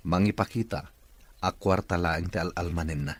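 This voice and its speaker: A man acting as a stern, wealthy boss in a radio drama. His voice is very low and has an Ilocano Filipino accent, recorded close and dry. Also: steady tone, normal speed, no echo. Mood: commanding.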